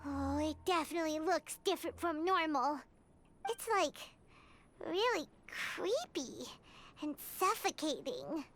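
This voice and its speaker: A woman voicing a tiny, childlike female fairy companion in a video game, chatty and inquisitive with a squeaky voice. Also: lively intonation, measured pace, fairly bright tone. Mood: curious, uneasy. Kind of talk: scripted video game dialogue.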